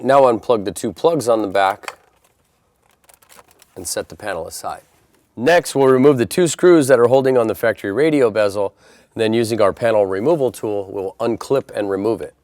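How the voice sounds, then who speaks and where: A man's voice: fairly low pitch, moderate pace, darkish tone. An American man presenting a step-by-step installation tutorial in an instructional style.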